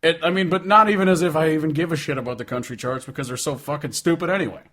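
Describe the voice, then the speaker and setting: A man's voice: fairly high pitch, racing, a bit bright. A man co-hosting a podcast, chatting casually about music in a blunt, informal, sweary way. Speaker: podcast co-host. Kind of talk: casual opinionated music chat.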